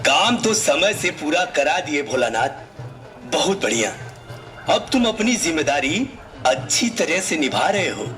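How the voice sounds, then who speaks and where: A man playing a wise old village patriarch in a puppet show, measured and advising. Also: on the high side, sing-song, a bit bright, soft background music. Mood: admonishing.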